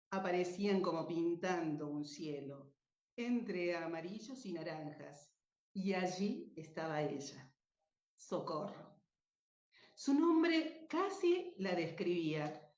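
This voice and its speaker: A woman presenting and narrating her radio drama in an expressive, deliberate way. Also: on the low side. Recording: echoey room, dull muffled sound.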